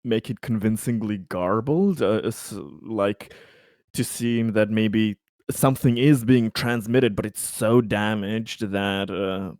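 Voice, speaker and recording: A man's voice: on the low side, slow pace, a little mellow, no echo, clean studio sound. A man bantering casually as a player in a tabletop roleplaying game.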